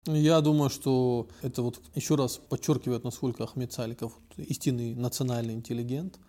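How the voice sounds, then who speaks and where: A man's voice: mid-range voice, fairly even intonation, brisk, mellow. A man from North Ossetia, a blogger and activist, speaking as a guest on a podcast in a reflective way with many hesitations. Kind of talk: casual discussion.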